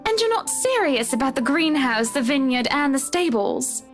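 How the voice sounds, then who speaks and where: An actress playing a chatty, teasing wealthy wife in a visual novel, with an assured, brisk manner. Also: female voice, sits high, sing-song, soft background music.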